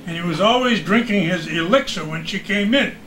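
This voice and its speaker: A man's voice: high voice, lively intonation, fairly quick, fairly bright tone, a little hiss or hum, poor audio. An American man, a veteran broadcaster, telling casual stories as a guest. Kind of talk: casual storytelling.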